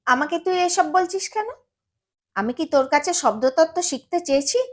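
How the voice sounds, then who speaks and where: A woman's voice: very high-pitched, expressive, normal speed. A woman acting a bold, carefree young college student in an audio story, with a brusque, dismissive, challenging manner. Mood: irritated. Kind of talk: dramatised audio story reading.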